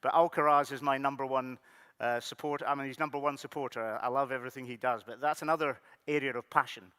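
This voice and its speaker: A middle-aged man from the Scottish Highlands with a Scottish accent, giving a conference keynote in a friendly, loose way with frequent fillers. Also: fairly even intonation, quick pace, sharp tone, smooth. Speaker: keynote speaker. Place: large conference hall. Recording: conference hall with long reverb.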